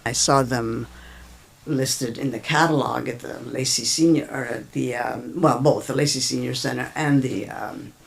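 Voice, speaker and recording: An older woman recalls things at her own pace, and her voice has a gravelly rasp. She wanders through her story and stops often to find her words. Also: very deep voice, fairly even intonation, normal speed. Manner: hesitant, rambling storytelling with many fillers. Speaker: event coordinator. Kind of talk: casual interview talk.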